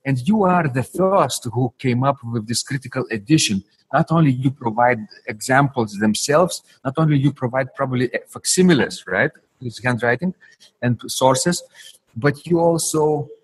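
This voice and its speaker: A man hosting a podcast interview, warmly praising his guest while speaking haltingly with fillers. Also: mid-range voice, slightly rough, no room sound, poor audio. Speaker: podcast host. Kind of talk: interview host.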